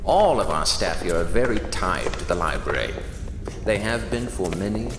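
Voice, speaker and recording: A man's voice: very animated, normal speed. A man playing a gloating sinister library director. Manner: theatrical, gloating, sweeping pitch swings. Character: sinister old male library director, cult leader. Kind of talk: audio horror drama acting. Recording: echoey reverberant room with noise.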